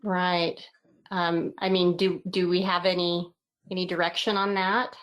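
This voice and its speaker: A woman facilitating an online meeting, giving brisk, appreciative acknowledgments over a video call. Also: fairly low pitch, level tone, highs cut off.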